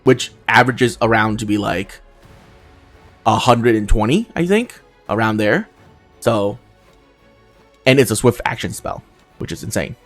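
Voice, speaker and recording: A low-pitched man with a gravelly edge talks through a video game in a casual way. His delivery moves up and down freely as he thinks aloud, and music plays faintly behind him. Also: expressive, a little slow, pauses often, a bit bright. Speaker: gaming video host. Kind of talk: casual playthrough commentary.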